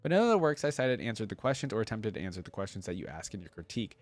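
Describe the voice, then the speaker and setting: A man's voice: lowish voice, sing-song, rapid-fire, no echo. A man hosting a fan reaction video, talking informally and reading story text aloud. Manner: informal, conversational.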